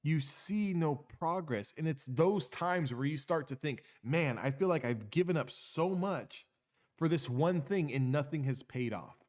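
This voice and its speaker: A man's voice: a little high, lively intonation, measured pace, a little mellow. A man giving an unscripted motivational monologue. He talks emphatically, repeats phrases for effect, tags lines with "okay?" and swears casually. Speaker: motivational speaker.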